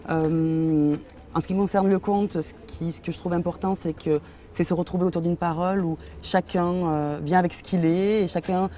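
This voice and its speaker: A young woman storyteller giving calm, measured interview answers. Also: fairly low pitch, a little hiss or hum.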